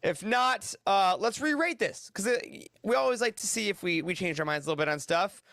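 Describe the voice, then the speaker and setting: A man's voice: sits high, animated, brisk, fairly bright tone, no echo, studio quality. A man hosting a casual roundtable discussion on a podcast. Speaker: podcast host.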